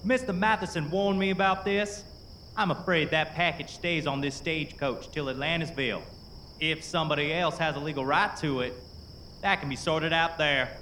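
A by-the-book Pinkerton guard refuses to give an inch, speaking in a calm, firm way. His voice sits a little high and bright as a man puts it on. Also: normal speed, slight background noise. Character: stubborn male Pinkerton guard.

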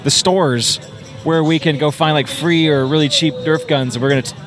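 A man's voice: fairly high pitch, fairly even intonation, moderate pace, over music. A man chatting casually as a guest at a convention pavilion, rambling and laughing often. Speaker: guest vendor. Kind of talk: casual chat.

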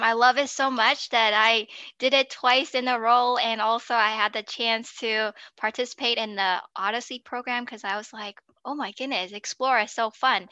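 Bright, crisp-voiced woman guest, hesitant. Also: medium pitch, dry recording, muffled recording. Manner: hesitant, many fillers, warm and grateful. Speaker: podcast guest. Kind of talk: conversational interview.

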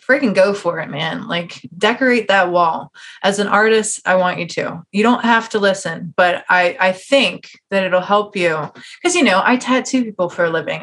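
A woman hosting a podcast, talking in a casual, informal and teasing conversational way with animated, slangy phrasing. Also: mid-range voice. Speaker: podcast host and tattoo artist. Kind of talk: casual interview chat.

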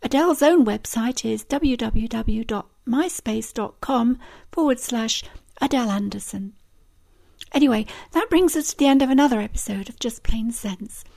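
A woman hosting a podcast, reading a scripted sign-off and spelling out web addresses in a measured way. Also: on the high side, creaky, a little mellow. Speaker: podcast host.

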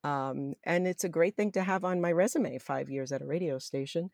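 A middle-aged woman with a deep voice for a woman, fondly and enthusiastically reminiscing in an interview. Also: moderate pace, gravelly, darkish tone, no room sound, studio quality. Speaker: interviewee, former college radio jazz announcer. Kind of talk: reminiscing in an oral history interview.

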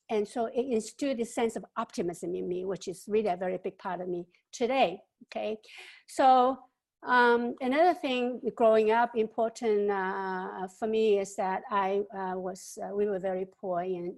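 A woman, giving an interview answer about her life. She speaks haltingly, with many fillers and repeated words. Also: a little high, a little mellow. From China. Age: 70s.

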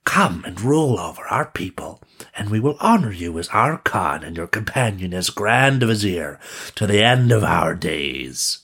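A man's voice: lowish voice, sing-song, measured pace, a bit bright, breathy edge, full character voice. Man voicing a solemn, trembling old magician. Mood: solemn, reverent. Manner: formal, archaic, reverent speech.

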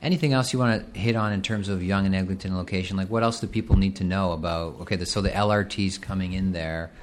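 A very deep-voiced man hosts a podcast and asks questions casually, with lots of ums and restarts. A faint room hum sits behind him. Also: fairly even intonation, fast talker. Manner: casual, hesitant questioning with fillers. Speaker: podcast host. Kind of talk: podcast interview.

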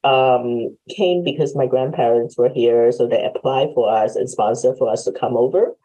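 Very deep, husky-voiced woman host. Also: moderate pace, dark tone, poor audio. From Hong Kong. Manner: friendly, laughs often, reflective. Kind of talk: casual interview chat. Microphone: video call.

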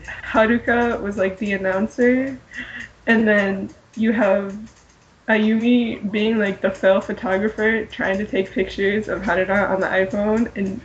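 A woman co-hosting a podcast, chatting casually and slangily in an animated fan style. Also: mid-range voice, measured pace, highs cut off, a little hiss or hum. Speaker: podcast co-host. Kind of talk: casual group chat about pop fandom.

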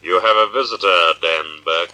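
An actor playing a mysterious, taunting invisible avenger in 1940s radio drama style. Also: male voice, sits low, moderate pace, bright, forceful tone. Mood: eerie, taunting. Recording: old radio drama recording.

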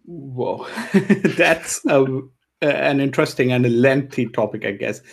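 Gravelly-voiced man from India. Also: medium pitch, measured pace. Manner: thoughtful, hesitant, many fillers. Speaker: podcast co-host. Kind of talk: casual chat. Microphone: remote recording setup.

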